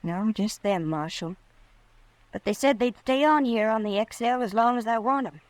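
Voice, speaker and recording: A woman's voice: a little high, normal speed, dry recording, lightly acted. A woman with an American accent playing a guarded, polite young ranch widow in an old-time radio western. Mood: subdued, guarded. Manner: polite, restrained.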